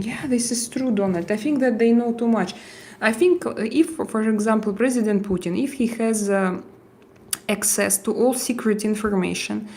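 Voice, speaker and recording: A woman with a thick Russian accent talks unhurriedly, her voice catching in a gravelly fry as she trails off. She hosts a relaxed livestream, answering viewers one by one and halting often with "uh" as she hunts for the right English word. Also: mid-range voice. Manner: hesitant, halting, with frequent "uh" fillers and restarts. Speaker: livestream host. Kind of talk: casual livestream chat answering viewer comments.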